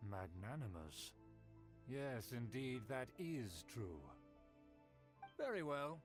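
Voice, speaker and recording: A man's voice: mid-range voice, sing-song. A man playing a vain, condescending older aristocrat in a video game, with a self-important manner. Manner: pompous, self-important, drawn-out. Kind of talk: video game character dialogue. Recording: music behind the voice.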